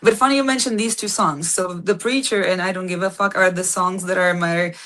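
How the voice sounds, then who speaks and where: A young woman from Poland, a band singer chatting in an interview, often pausing on "uh" and "um" as she looks for words. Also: on the low side, steady tone, bright, forceful tone. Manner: frequent "uh" and "um", searching for words. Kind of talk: casual interview chat.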